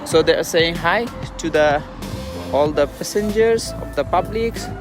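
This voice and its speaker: Man, Bangladeshi accent, informal live street vlog, earnest and halting, music behind him. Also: on the high side. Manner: halting, earnest, repetitive phrasing. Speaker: vlogger and refugee activist. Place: outdoors at a busy roadside protest.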